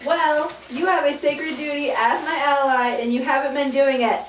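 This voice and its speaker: A woman's voice: high-pitched, steady tone, normal speed, slight background noise, lightly acted. A woman playing a melodramatic, wronged Ukrainian president in an amateur mock-tragic satire. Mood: grudging. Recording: echoey room, distant mic.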